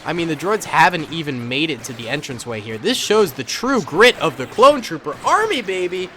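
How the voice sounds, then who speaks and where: A man's voice: fairly high pitch, very animated, a little fast, a bit bright, a little hiss or hum. Stuffy-nosed man, playful game commentary. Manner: playful, slangy, chuckles often. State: stuffed-up nose from a cold. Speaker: gaming channel host. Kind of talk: casual battle simulator commentary.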